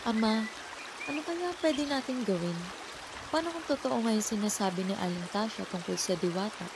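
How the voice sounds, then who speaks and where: A woman's voice: medium pitch, animated, normal speed, noisy background, lightly acted. A woman playing a respectful, earnest daughter from a village in an amateur radio drama. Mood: concerned.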